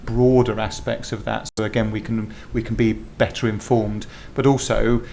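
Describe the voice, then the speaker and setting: Halting, monotone man at a board meeting. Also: fairly low pitch, gravelly, slight background noise. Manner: measured, halting, many restarts. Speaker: health board executive. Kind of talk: formal meeting remarks.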